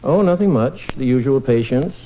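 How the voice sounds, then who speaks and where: Casting: actor as easygoing small-town doctor dad, mid-range voice with lively inflection, gentle manner, muffled old radio recording. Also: male voice, medium pitch, normal speed, a little hiss or hum, close to an everyday voice. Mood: gentle concern. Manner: gentle, fatherly, conversational. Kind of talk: 1950s radio comedy-drama acting.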